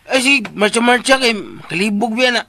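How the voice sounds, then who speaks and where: A man's voice: very high voice, animated, moderate pace, pushed and bright, slight background noise, full character voice. A man playing a teasing, bantering minder in a Filipino radio drama, exclaiming and repeating himself with a Filipino accent. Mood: exasperated, humouring.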